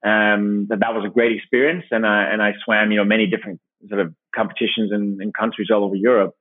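Podcast guest: a low-voiced Danish man, halting and thoughtful, heard over a tinny remote line. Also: little pitch movement, dry recording. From Denmark. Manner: thoughtful, halting, with frequent restarts. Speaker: guest, company founder. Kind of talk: relaxed interview answers. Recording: dry, tinny phone-like line.

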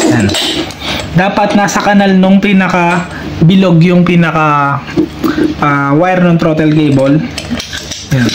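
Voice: medium pitch, fairly even intonation, slow pace, long pauses. A Filipino vlogger of unclear sex giving a casual, step-by-step hands-on tutorial while working at a table. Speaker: DIY motorcycle vlogger. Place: indoor room at a tabletop. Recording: roomy, very noisy with clinking parts. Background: loud noise and clinking of handled metal parts.

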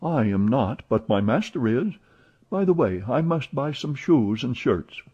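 A man voicing an excitable, chatty young French manservant who talks eagerly and protests often, in a dull lo-fi recording. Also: medium pitch, breathy edge, voice pushed up. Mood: excited. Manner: eager, exclamatory, quick to protest.

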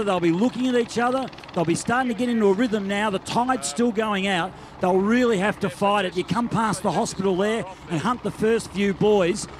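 A man's voice: high voice, background noise. Chatty man calling a swim race. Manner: chatty, excitable. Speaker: race commentator. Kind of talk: live race commentary. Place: outdoors at a beach event.

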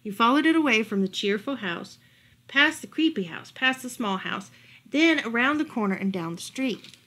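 A woman with a fairly high voice reads a bedtime story for children. Her pitch swings up and down in an animated storytelling style. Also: normal speed. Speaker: librarian reading bedtime stories. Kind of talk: animated children's picture-book read-aloud. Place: furnished room at home, indoors.

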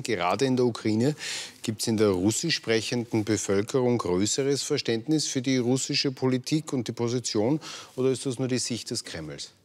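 A man in his thirties, a news anchor in a TV studio, asking measured, formal questions. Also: mid-range voice.

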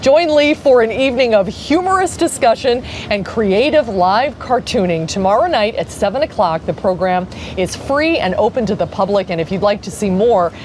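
A middle-aged woman, anchoring a local TV news feature in a bubbly, animated way. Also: mid-range voice, normal speed, few pauses, a little hiss or hum. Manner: bubbly, animated, laughs often. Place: outdoor garden set. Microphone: lapel.

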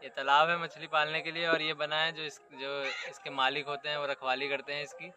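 A young man explaining things in a relaxed way while standing outdoors by a pond, away from the phone's mic. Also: a little high, brisk. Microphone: off-mic, picked up by the vlogger's phone. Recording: distant outdoor voice with people around.

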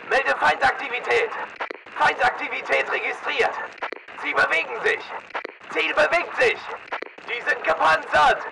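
Gravelly, commanding mercenary soldier. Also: male voice, very high-pitched, recorded from a distance, very noisy, exaggerated voice. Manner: clipped, commanding. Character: armed mercenary soldier, tough, aggressive and disciplined. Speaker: video game soldier. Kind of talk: military battle chatter, short barked commands.

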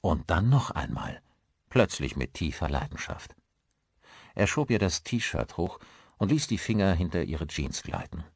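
A male narrator with a very deep voice reads scripted horror fiction as an audiobook. The sound is dry, with hardly any room to it. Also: big pitch swings, a little slow, gravelly, husky, no room sound.

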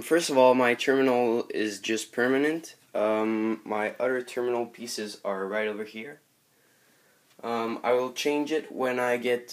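Slow, hesitant man with a Quebec French accent. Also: medium pitch. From Montreal. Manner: hesitant, many ums and uhs. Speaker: model airplane collector. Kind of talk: casual show-and-tell vlog. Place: bedroom with model airport table, indoors.

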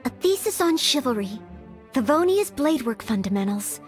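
A woman's voice: sits high, sing-song, normal speed, soft background music. A woman voicing an earnest, anxious young maid who dreams of knighthood in a video game, speaking politely and hesitantly. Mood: weary, overwhelmed. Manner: polite, hesitant, self-doubting.

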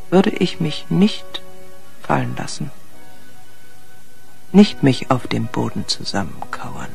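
Creaky-voiced, guarded wife. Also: female voice, extremely low pitch, a little mellow, heavily acted. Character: abused wife, tense, defensive, grimly resolved. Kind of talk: German radio crime drama acting.

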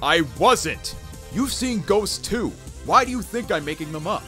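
Man acting earnest, animated cartoon echidna hero. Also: fairly low pitch, moderate pace, soft background music. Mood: exasperated. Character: earnest, exasperated young male echidna treasure hunter. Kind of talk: cartoon comic fan dub.

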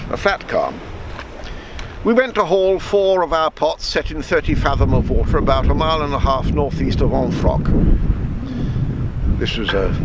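Casting: man in his seventies, fairly high-pitched, measured storyteller reading a memoir outdoors by the sea, wind noise on the mic. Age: 70s. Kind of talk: reading aloud a written memoir, with spoken introduction. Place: outdoors on a seafront.

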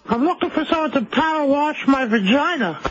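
A man's voice: very high voice, animated, fairly quick, soft background music. A man voicing a crude prank caller posing as a woman over the telephone. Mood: brazen. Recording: dull phone call over radio.

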